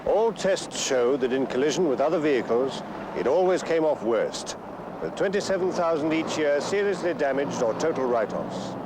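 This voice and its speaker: An actor playing a deadpan, clipped motoring reviewer in a scripted public information film. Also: male voice, a little high, moderate pace, lightly acted. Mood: dry, deadpan. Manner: dry, clipped, matter-of-fact. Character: deadpan motoring reviewer assessing a pedestrian as a car. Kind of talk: mock road-test report, scripted narration. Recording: noisy old film soundtrack, traffic.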